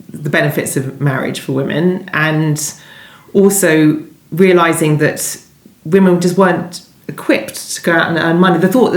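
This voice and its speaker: Low-voiced woman in a roomy space. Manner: discursive, thinking aloud, rephrasing as she goes. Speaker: novelist being interviewed about her book. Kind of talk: conversational interview answers. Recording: roomy, echoing indoor sound.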